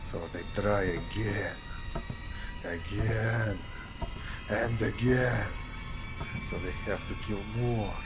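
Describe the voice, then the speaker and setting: A man playing a probing, wry diner customer in a noir audio drama, coaxing and deliberate. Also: fairly low pitch, fairly even intonation, music in the background. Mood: brooding, ominous.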